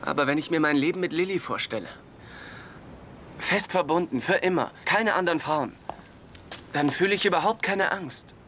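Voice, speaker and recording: A man's voice: on the high side, moderate pace, pauses often, a bit bright, slight background noise, lightly acted. An actor dubbing an earnest, sheepish young man about to marry in a sitcom dub.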